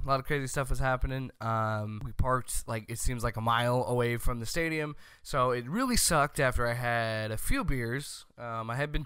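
An American man delivers comedic sports commentary straight to the listener. His voice is bright and forceful, recorded dry and close on a desk microphone. He comes across as loud and opinionated. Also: mid-range voice, clear, resonant tone, no echo. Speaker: sports show host. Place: small home room, indoors. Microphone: close desk microphone on boom arm.

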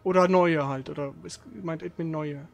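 A man's voice: on the high side, fairly even intonation, normal speed, creaky, soft background music. A man commenting casually on a video game as he plays, grumbling and swearing in frustration.

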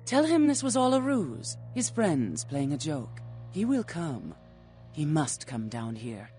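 An ancient flesh-eating vampire woman. She is philosophical and formal, posing cryptic questions with cool menace. Her pitch moves widely over faint music. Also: sing-song, slow, mellow, exaggerated voice. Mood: insistent. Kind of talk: video game character acting.

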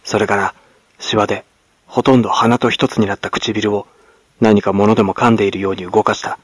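A man from Kagoshima, Japan, narrating classic literature as a dramatic audiobook in a grave, measured manner. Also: lowish voice, little pitch movement, slightly rough, dry recording. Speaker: actor, narrator. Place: at home, close and dry.

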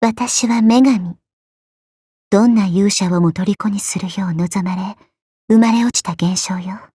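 A woman voicing a petite, haughty, teasing goddess for a game, with elegant, coy, ladylike speech and a soft, breathy tone. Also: medium pitch, unhurried, no echo, clean studio sound. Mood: aloof, playful. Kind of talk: Japanese mobile game character voice lines.

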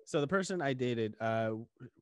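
A young man hosting a casual interview podcast from a home studio, talking with his hands into a boom-arm mic. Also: moderate pace, clear, resonant tone, dry recording. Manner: animated, talks with his hands. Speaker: podcast host. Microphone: studio mic on boom arm, headphones.

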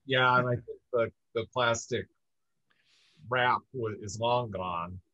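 A man from upstate New York, a guest in a casual interview, talking in an earnest, measured way with light laughs. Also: mid-range voice, sharp tone, no room sound. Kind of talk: casual video-call interview. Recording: dull muffled dry audio.

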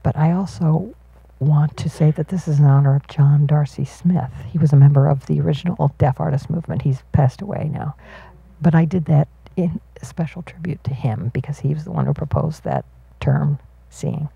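A woman voicing a live sign language interpretation of a panel talk, halting, with fillers and restarts as she follows the signer. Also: deep voice, vocal fry, warm tone, husky, dry recording, lo-fi sound.

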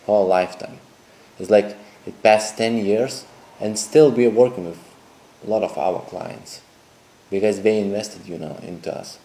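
A young man, a dating coach making a sales pitch to camera indoors, talking in halting, repetitive, phrasing. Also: warm tone, poor audio. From Lithuania. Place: indoor room with a TV screen behind.

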